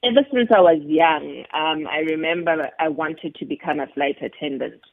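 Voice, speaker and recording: A woman's voice: sits low, normal speed, dry recording. A woman from Johannesburg, chatting upbeat as a guest on a radio show. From Johannesburg, South Africa. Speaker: guest, business founder. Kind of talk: casual phone interview. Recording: tinny phone line.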